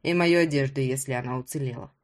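A woman's voice: rumbling low, quick pace, pitched below the performer's own voice. A woman voicing a proud, demanding, impatient man in an audiobook story. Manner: demanding, sardonic.

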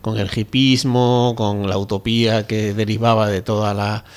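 A man's voice: on the low side, little pitch movement, moderate pace. A man co-hosting a casual book discussion, hesitant and often correcting himself as he searches for words.

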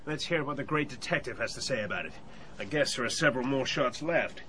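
An actor playing a combative, sarcastic younger man in a radio drama, mocking and forceful in delivery. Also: medium pitch, lively intonation, a little fast, slight background noise.

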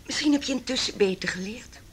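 A woman's voice: on the high side, quick pace, breathy edge. An actress playing a cold, disapproving female house owner in a radio drama, curt and imperious. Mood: cold, reproachful.

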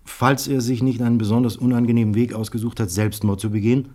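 A man acting in a 1970s German radio crime drama as a shrewd, courteous Dutch police inspector, formal and measured. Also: mid-range voice, little pitch movement, dark tone, close to an everyday voice. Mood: explaining, regretful.

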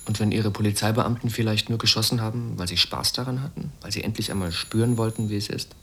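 Husky, bitter, ironic challenger. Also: male voice, fairly low pitch, steady tone, quick pace, fairly bright tone, full character voice. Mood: bitter, accusing. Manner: pointed, sardonic. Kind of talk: German radio drama acting.

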